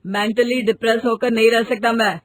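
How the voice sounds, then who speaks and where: A woman's voice: a little high, little pitch movement, a little fast, natural voice. Woman voicing a fed-up young husband. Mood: upset. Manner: tense, complaining. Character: adult son, stressed husband who quit his job. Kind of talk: storybook voice acting.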